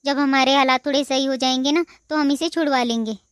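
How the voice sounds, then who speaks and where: A woman voicing a caring middle-aged mother-in-law in a cartoon, speaking in a warm, reassuring, motherly way. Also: sits high, fairly even intonation, a little fast, no echo, clean studio sound, natural voice. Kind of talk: Hindi cartoon dubbing.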